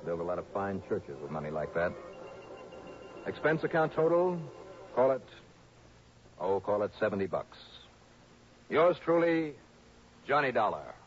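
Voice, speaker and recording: A clipped, hard-boiled radio detective. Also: male voice, fairly low pitch, big pitch swings, a little slow, long pauses, noisy background. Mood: wry narration. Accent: American. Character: confident, shrewd male insurance investigator narrating his cases. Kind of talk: 1960s American radio drama acting. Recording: dull old radio broadcast.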